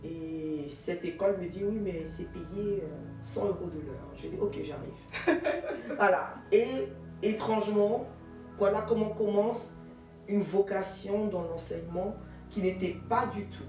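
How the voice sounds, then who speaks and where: A woman in her forties from Ivory Coast, a guest telling her career story in an animated way, with many fillers and restarts. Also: mid-range voice, unhurried, echoey room, recorded from a distance, soft background music. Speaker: guest, jurist and teacher. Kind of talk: interview answers.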